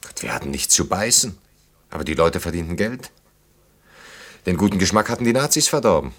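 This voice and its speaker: An established older German gentleman in a radio play. His voice is low, and he talks drily and mockingly. He needles his partner and slips readily into nostalgic reminiscence. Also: animated, brisk, heavily acted. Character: established older man, sardonic, nostalgic, needling a young woman.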